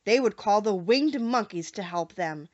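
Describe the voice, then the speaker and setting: A woman narrating an audiobook of a children's story in an expressive, carefully phrased way. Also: medium pitch, a little slow, lo-fi sound.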